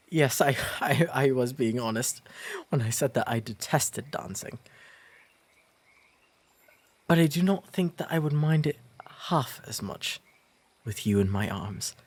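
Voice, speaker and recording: An earnest, shyly smitten English gentleman of the 19th century played by a man in a romantic audio roleplay. His delivery is slow and formal, halting a little with nervous sincerity. The voice is soft and breathy, with a slightly husky edge. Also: mid-range voice, exaggerated voice. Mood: tender, shy.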